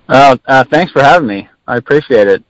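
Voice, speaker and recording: A man's voice: medium pitch, fairly bright tone, dry recording. A man chatting casually as an interview guest, heard over a dull, lo-fi remote line. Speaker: hunting guide, podcast guest.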